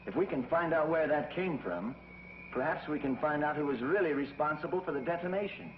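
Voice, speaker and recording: A man's voice: on the high side, animated, a little fast, background noise, lightly acted. An actor playing a brave young male space hero who reasons firmly, in a 1950s TV series. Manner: firm, reasoning. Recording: dull 1950s TV soundtrack, roomy, with music.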